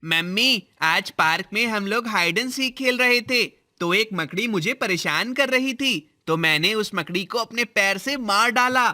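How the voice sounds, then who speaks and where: A man acts out a small cartoon boy who is scared of spiders and keeps pleading with his mother. The voice is very high and sharply bright. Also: animated, a little slow, few pauses, full character voice. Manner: pleading, fearful. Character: timid seven-year-old boy afraid of spiders. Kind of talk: cartoon voice acting.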